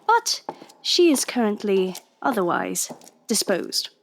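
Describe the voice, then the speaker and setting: A woman's voice: mid-range voice, sing-song, slow pace, vocal fry, heavy background noise, exaggerated voice. An actress playing a wry young undercover policewoman, confiding in the listener in an audio drama. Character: young woman undercover police agent, wry, posing as nurse. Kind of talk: first-person audio drama narration and dialogue.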